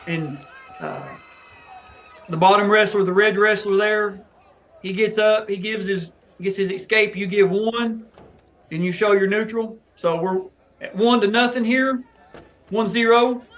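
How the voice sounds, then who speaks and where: Male host with a high voice, teaching-style breakdown of officiating footage. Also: measured pace, fairly bright tone. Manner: explanatory, coaching tone toward new officials. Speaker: host reviewing match footage. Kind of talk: instructional match breakdown for officials.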